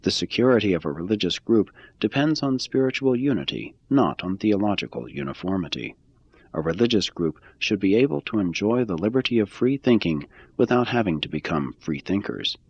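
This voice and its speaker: Deep husky man reading a religious text. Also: expressive, a little fast, no echo, dull audio. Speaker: reader.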